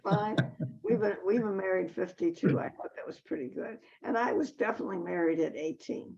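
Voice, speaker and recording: A woman's voice: mid-range voice, a little fast, vocal fry, a little mellow, husky. A woman in her sixties, chatting casually on a video call with effusive praise. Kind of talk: casual chat. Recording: dull video call audio.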